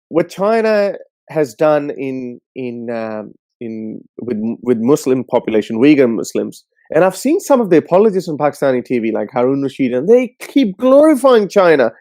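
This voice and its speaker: A man hosting a call-in show, giving casual, blunt and scornful commentary in an animated way. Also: fairly high pitch, no room sound, studio quality.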